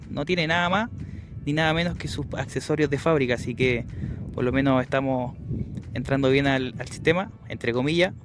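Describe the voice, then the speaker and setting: A man's voice: medium pitch, a bit bright. A man with a Chilean Spanish accent talking casually as an amateur radio operator doing a field test outdoors. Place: outdoors on a hilltop.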